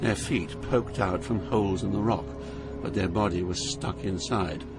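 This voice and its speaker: A man's voice: low-pitched, moderate pace, over loud music. An actor playing an awed, fearful poet pilgrim, narrating his journey through hell in a solemn, theatrical manner. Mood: solemn dread. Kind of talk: dramatized literary narration.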